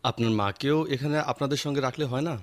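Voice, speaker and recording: A man's voice: medium pitch, fairly even intonation, fast, natural voice. A man acting a deferential, helpful office subordinate in a Bengali radio drama, with faint noise behind him. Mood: suggesting.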